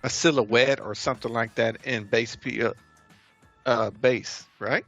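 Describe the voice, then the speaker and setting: A young man doing casual gameplay commentary on a stream, excitable and quick to doubt what he hears. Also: mid-range voice, vocal fry, no echo, dull audio, faint music behind. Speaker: game streamer.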